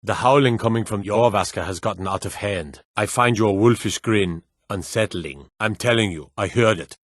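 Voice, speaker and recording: A man's voice: on the low side, moderate pace, dry recording, clean studio sound, natural voice. An actor playing a wary, plainspoken male city guard in a fantasy video game, with a stern, folksy way of talking. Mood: suspicious. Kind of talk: scripted fantasy game dialogue.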